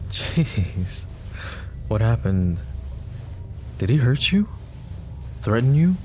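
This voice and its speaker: Man acting as a low-voiced, lovestruck mafia boss. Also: slight background noise. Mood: concerned, soothing. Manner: soft, emotive, halting delivery with stammers. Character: possessive, lovestruck young male mafia boss, tender and anguished. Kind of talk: intimate roleplay ASMR acting.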